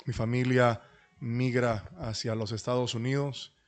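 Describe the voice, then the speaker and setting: A middle-aged man, speaking as a pastor in a humble, courteous and deliberate way to an online class. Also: medium pitch, flat delivery. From Dominican Republic and New York. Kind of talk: introductory talk at an online church leadership class. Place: online video call.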